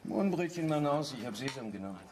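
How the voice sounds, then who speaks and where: A man plays an everyday family man in a radio play. His medium-pitched voice moves around a lot, practical and easygoing yet easily exasperated, over faint noise. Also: lively intonation, fast, natural voice. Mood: relaxed, teasing. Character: easygoing married father, nervy but practical accomplice. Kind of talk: naturalistic radio drama dialogue.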